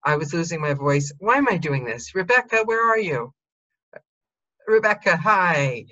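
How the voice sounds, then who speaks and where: A woman's voice: fairly low pitch, big pitch swings, normal speed, pushed and bright, lo-fi sound. A woman hosting a video call, chatting and asking curious questions. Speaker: host. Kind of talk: casual chat.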